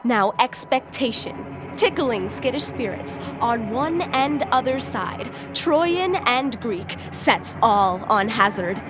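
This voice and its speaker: A woman playing an armed prologue, a wry herald of war narrating Shakespearean verse in an audio drama in a measured, theatrical way. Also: fairly high pitch, animated, over music. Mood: proud, inviting.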